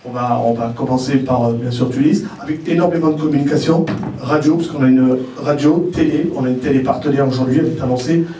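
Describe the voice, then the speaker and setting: Male presenter, mellow voice, halting informal explanation, roomy and distant sound. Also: medium pitch. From Algerian family origin. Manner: hesitant, repeats words while explaining. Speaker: president of a model contest, speaker at press presentation. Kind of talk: informal spoken presentation to press. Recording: roomy echo, distant mic, faint noise.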